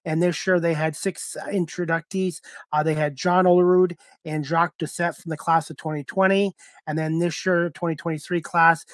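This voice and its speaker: A man's voice: fairly high pitch, level tone, normal speed, no echo, studio quality. A middle-aged man hosting a sports talk livestream, chatting casually to viewers on a headset mic. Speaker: sports talk show host and producer.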